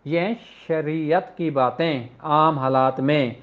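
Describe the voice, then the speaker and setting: Man, Pakistani accent, high voice, informal law lecturer, code-switching into English, dull lo-fi recording. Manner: switches between Urdu and slowly read English legal text. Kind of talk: informal lecture explaining legal articles. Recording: dull, lo-fi audio.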